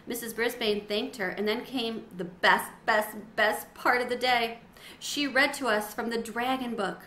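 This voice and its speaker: Bright-voiced woman reading a children's book. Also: on the high side, a little slow. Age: middle-aged. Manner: animated in dialogue, clear storytelling phrasing. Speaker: read-aloud storyteller. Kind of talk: expressive children's book read-aloud. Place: small windowed sunroom at home. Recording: roomy echo, faint background noise.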